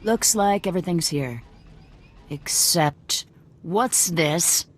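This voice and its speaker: A very low-pitched female guerrilla commander for a video game. She is blunt and fanatical, giving orders in clipped phrases with an open threat underneath. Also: animated, slow pace. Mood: hard, businesslike. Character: hard-bitten fanatical female rebel cell commander.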